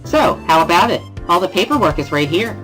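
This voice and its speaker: A man's voice: sits high, big pitch swings, fairly quick, sharp tone, faint music behind, voice pushed up, full character voice, poor audio. A man voicing a bubbly, talkative, boisterous teenage schoolgirl in an amateur dramatic reading of a visual novel. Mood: bubbly, teasing. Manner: exuberant, talkative, boisterous laughing.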